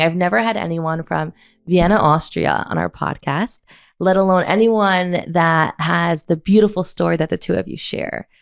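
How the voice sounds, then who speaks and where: A woman's voice: medium pitch, creaky. A woman hosting a podcast, interviewing guests and reading an ad, with effusive reactions. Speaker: podcast host.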